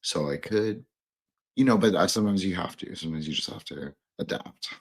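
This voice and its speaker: Male podcast host, low and husky; loose, halting, digressive conversational delivery. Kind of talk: casual, rambling discussion.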